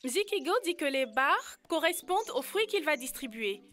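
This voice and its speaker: A woman's voice: sits high, sing-song, moderate pace, sharp tone, full character voice. A woman voicing a cheerful, playful young village girl in an educational cartoon. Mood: cheerful, eager. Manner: playful, giggly, teasing. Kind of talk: animated educational cartoon dialogue.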